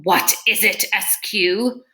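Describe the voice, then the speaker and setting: A woman's voice: medium pitch, slow pace, bright, forceful tone. A woman voicing an irritable, coldly menacing male villain in a storybook, speaking formally with clipped sarcasm. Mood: irritated.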